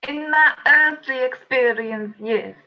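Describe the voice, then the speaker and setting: A woman playing a gentle, modest heavenly spirit in an amateur radio play with dull sound. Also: high-pitched, takes it slowly. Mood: mild, puzzled.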